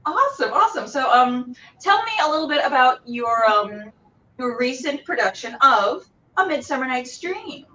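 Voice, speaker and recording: Effusive woman host, high swooping voice. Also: sing-song, normal speed, pushed and bright. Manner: effusive, exclamatory. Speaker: interview show host. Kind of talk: casual livestream interview. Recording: dull, slightly distant sound.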